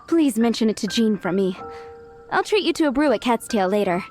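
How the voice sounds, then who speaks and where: A woman's voice: on the high side, expressive, brisk, darkish tone, soft background music, natural voice. A woman voicing a friendly, worried cat-owning townswoman in a video game.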